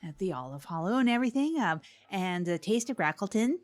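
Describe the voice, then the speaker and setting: A woman playing an earnest, gushing small-town community radio host in a comedy show, a little flustered and halting in her phrasing. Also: mid-range voice, big pitch swings, close to an everyday voice. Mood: cheery, hosting. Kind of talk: improvised mock public access radio chat.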